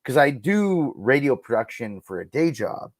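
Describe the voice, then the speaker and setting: A man with an average-pitched voice talks casually as an interview guest. He is emphatic and enthusiastic, telling things in an animated conversational way. The recording is dry and close. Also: normal speed, no echo. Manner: enthusiastic, emphatic, acts out quoted lines. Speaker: guest, radio producer and audio drama creator.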